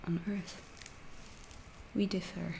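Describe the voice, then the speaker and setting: A woman playing a calm, curious alien researcher in an ASMR roleplay, speaking softly in halting, deliberate phrases, often whispering. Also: fairly low pitch. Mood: calm, inquisitive. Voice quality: soft, breathy, often whispered. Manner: slow, deliberate, halting, clinical and detached. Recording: roomy echo, faint background noise.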